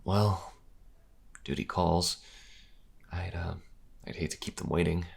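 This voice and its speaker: Flirtatious fantasy priest played by a man, deep and rough-edged, playful and dry, for audio drama. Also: slow pace, full character voice. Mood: wry. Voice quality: husky, gravelly.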